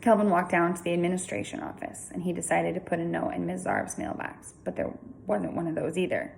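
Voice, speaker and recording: A woman reading a children's story aloud in an informal, chatty, expressive way with casual asides. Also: on the low side, fairly quick, creaky, a little hiss or hum, poor audio.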